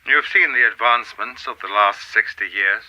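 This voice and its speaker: A man playing a cold, commanding alien in a radio play, speaking in a formal, measured, imperious way. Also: on the low side, pushed and bright, muffled recording, slight background noise. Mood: measured, ominous. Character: cold, commanding alien ruler secretly breeding with humans.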